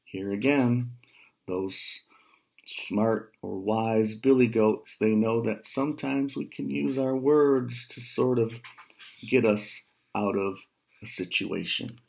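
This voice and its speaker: An American man in his fifties tells a children's story in a fairly low voice. He goes very slowly, pausing for casual, explanatory asides to his young listeners. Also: gravelly, darkish tone. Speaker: storyteller, writer. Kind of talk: children's picture book read-aloud with casual asides. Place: small room at home.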